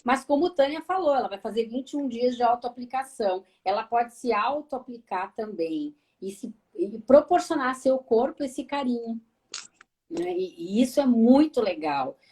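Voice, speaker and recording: Brief: gaúcha woman therapist hosting a live stream, fairly high voice, lively, addresses the audience directly. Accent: southern Brazilian gaúcho. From Rio Grande do Sul, Brazil. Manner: lively, addresses viewers directly, frequent tag questions. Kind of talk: conversational live talk.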